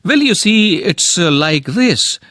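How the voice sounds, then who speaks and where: A man's voice: high-pitched, big pitch swings, takes it slowly, bright, forceful tone, no echo, studio quality, voice pushed up, heavily acted. A man reading the part of a nervous young suitor who stammers and hesitates.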